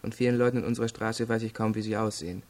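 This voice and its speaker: An actor playing an arrogant, evasive rich young male student under questioning in a radio crime drama, cool and disdainful, with a rough voice. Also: fairly low pitch, steady tone, very fast, darkish tone, close to an everyday voice. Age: young adult.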